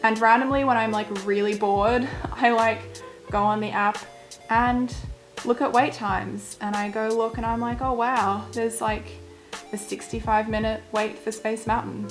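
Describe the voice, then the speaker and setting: Aussie girl vlogging, kinda croaky like she's losing her voice, says like a lot, soft music underneath. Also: fairly high pitch, steady tone, unhurried. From Australia. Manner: chatty, lots of "like" fillers. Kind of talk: casual walkthrough.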